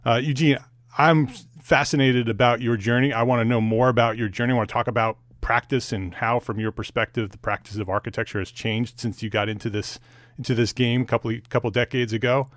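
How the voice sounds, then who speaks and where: A man's voice: mid-range voice, steady tone, fairly quick, a bit bright, dry recording, clean studio sound. A man working as a podcast host, delivering scripted introductions and interview questions with an emphatic, promotional manner.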